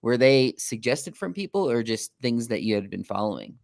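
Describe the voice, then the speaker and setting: A man's voice: medium pitch, big pitch swings, moderate pace, gravelly, darkish tone, no room sound, clean studio sound. A man hosting a casual interview, putting his questions in a loose, rambling way. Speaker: podcast host, interviewer.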